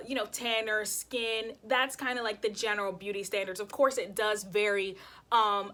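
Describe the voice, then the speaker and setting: A woman's voice: medium pitch, a bit bright. A young woman hosting her vlog, chatting casually to camera with lots of fillers. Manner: chatty, lots of "you know" and "kind of". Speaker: vlog host. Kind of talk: casual talking-to-camera narration.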